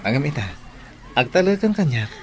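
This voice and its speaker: A man's voice: fairly high pitch, sing-song, fairly quick, some chatter behind. A man acting an earnest young suitor in a Filipino radio drama, with a Filipino accent. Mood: sincere, tender. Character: earnest young male suitor mending his ways.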